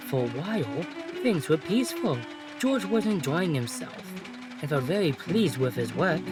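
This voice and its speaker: A narrator reading a scripted children's story, with music playing behind. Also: mid-range voice.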